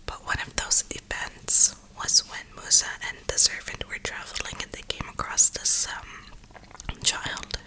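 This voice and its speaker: A woman whispering a reflective, rambling ASMR monologue in a breathy, unhurried way, with drawn-out pauses. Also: bright, forceful tone, low-quality recording. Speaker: ASMR video creator.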